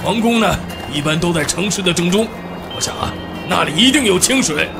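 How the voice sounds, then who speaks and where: An old scholar of an audio drama, played by a man, excitable and a little scatterbrained. His voice sits high and has a gravelly creak, with clear music playing behind it. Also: a little fast. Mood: flustered. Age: older. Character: excitable, absent-minded elderly archaeology professor. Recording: loud music under the dialogue.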